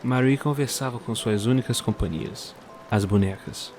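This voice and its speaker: A man narrating a scripted storybook tale for an audio drama in a measured storyteller's manner. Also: mid-range voice, darkish tone, a little hiss or hum.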